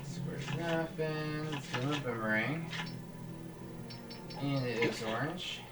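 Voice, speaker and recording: A man speaks slowly and haltingly while he plays, thinking aloud and restarting his sentences. His voice sits back from the mic in an echoey room. Game music plays clearly behind him. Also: medium pitch, far from the mic. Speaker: gaming channel host. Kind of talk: casual let's-play commentary.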